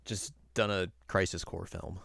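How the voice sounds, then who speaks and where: Male podcast co-host, really deep pitch, dry recording, casual conversational delivery. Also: steady tone, a little slow, creaky, a bit bright, no room sound. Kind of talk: casual chat.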